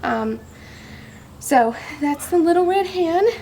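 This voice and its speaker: Woman farmer presenting to preschoolers, higher voice with some fry, teacherly and simple, noisy home-video sound. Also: expressive, takes it slowly, warm tone. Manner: simple teacherly talk with questions to young listeners. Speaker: farmer reading a story to young children. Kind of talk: children's storybook read-aloud and farm show-and-tell.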